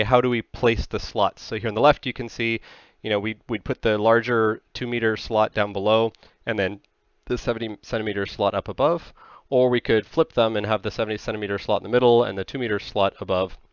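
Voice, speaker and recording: Low, smooth-voiced American man presenting. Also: steady tone, fairly quick, no room sound, lo-fi sound. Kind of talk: slide presentation, technical explainer.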